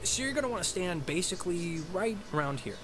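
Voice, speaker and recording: A man playing an excitable, mischievous teenage slacker in a video game, with a joking, slangy delivery. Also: high voice, a little fast, background noise. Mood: eager, explaining. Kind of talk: naturalistic video game dialogue acting. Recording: music behind the dialogue.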